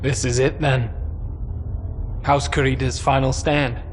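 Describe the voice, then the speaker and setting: A man as a slow, brooding warrior. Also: medium pitch, little pitch movement, lightly acted. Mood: grave. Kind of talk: dramatic video game cutscene acting. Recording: loud music over the voice. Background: loud dramatic score.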